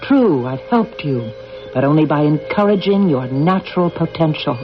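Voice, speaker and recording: Manipulative alien male actor, high measured voice, philosophical and coolly insistent, old radio play, muffled sound with music behind. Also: animated, lightly acted. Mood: persuasive. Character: calm, persuasive, manipulative alien being from another world. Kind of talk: 1980s radio drama acting. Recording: dull radio broadcast with music.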